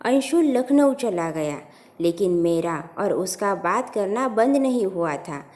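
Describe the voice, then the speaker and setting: A woman's voice: medium pitch, expressive, slow pace, darkish tone. A woman narrating a scripted first-person family story as a storyteller.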